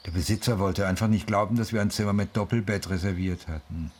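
Radio drama: grieving father in middle age, very low rough-edged voice, reflective delivery. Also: steady tone, a little mellow. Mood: wistful. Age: middle-aged. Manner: halting, reflective. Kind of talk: radio drama, interview-like monologue.

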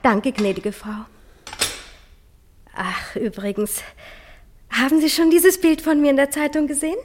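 An actress playing a chatty, self-important housemaid in a German radio play, deferential yet pert. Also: female voice, sits high, steady tone, measured pace, a little hiss or hum. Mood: eager.

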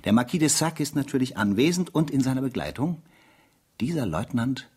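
A man's voice: mid-range voice, animated, brisk, a little mellow, slight background noise, natural voice. An actor playing a sardonic, weary male investigator who narrates a spy case theatrically in a radio play. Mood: sardonic recounting. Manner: sardonic, theatrical, rhetorical asides.

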